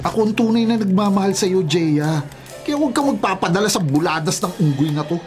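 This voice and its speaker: An actor with a Filipino accent playing a pushy, jealous, hot-headed young suitor, confrontational, in a radio drama. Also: male voice, sits high, expressive, a little fast, darkish tone, soft background music. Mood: angry, jealous.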